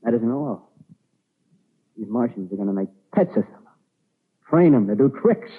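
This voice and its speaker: An actor playing a grandiose, scheming artilleryman who survived disaster, talking in excitable, rambling tough-guy slang in an old radio drama. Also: mid-range voice, normal speed, husky. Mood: grim, scornful. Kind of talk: 1930s radio drama acting. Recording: muffled lo-fi 1930s radio broadcast.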